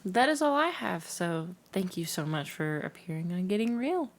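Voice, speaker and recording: A woman's voice: fairly low pitch, big pitch swings, moderate pace. A woman co-hosting a podcast, asking questions and reading credits. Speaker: podcast co-host.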